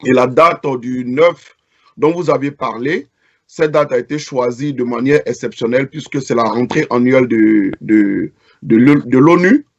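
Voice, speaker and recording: A man from Côte d'Ivoire speaks as a protest organizer in an interview, earnest but halting and repeating words. His voice sits at a middle pitch. The sound is dull and lo-fi. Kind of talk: interview answer.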